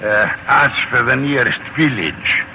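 Lilting leprechaun, noisy old radio. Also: male voice, mid-range voice, creaky. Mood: courteous, musing. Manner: unhurried, quizzical, lilting and poetic phrasing. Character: mysterious whimsical leprechaun shoemaker, wary little Irishman. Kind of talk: 1940s radio acting. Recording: noisy, muffled 1940s radio recording.

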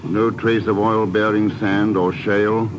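An actor playing a cool, unflappable secret agent in a 1950s radio drama, speaking in a measured, dry manner. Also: male voice, on the low side, little pitch movement, lightly acted. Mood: dry, composed. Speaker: lead actor. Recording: muffled, noisy old radio broadcast.

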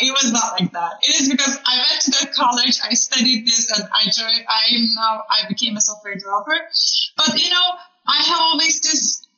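A woman's voice: on the high side, roomy sound, muffled recording. A woman from Turkey with a Turkish accent, telling her story casually as an interview guest. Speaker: podcast guest, community program manager.

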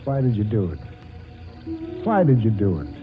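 A man's voice: fairly low pitch, wildly swooping pitch, moderate pace, music in the background. An actor playing a devoted old grandfather, folksy and tender, in a 1940s radio drama. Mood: sorrowful, resolved. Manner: folksy, homespun. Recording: muffled vintage 1940s radio broadcast.

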